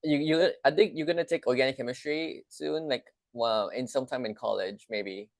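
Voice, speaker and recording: A man of middle pitch chats casually as a tutor over a video call. He often repeats phrases and fills pauses with "uh" and "um" while he talks. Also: gravelly, no echo. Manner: friendly, filler-heavy, repeats himself. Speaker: chemistry tutor hosting office hours. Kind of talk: casual chat.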